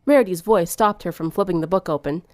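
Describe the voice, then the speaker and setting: A woman's voice: lowish voice, fast, no echo, clean studio sound. A woman reading fan fiction aloud as scripted third-person narration.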